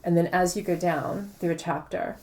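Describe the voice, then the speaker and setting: A woman speaks as an interviewed author with a very mellow, warm tone. Her pitch sits a little low, and she explains her points articulately, one step at a time. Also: steady tone, moderate pace, poor audio.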